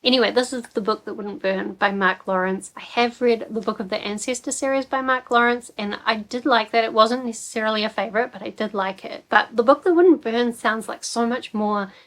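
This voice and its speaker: A woman's voice: a little high, a little fast, few pauses, fairly bright tone. Chatty New Zealand woman. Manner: chatty, rambling, frequent fillers. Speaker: book vlogger. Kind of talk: casual book chat.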